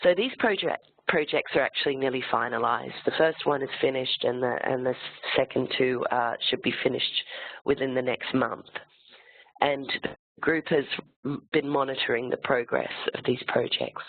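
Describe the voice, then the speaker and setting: Croaky webinar presenter with a cold. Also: mid-range voice, normal speed, no room sound. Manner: explains step by step, slide by slide. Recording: tinny phone-like line, dry sound.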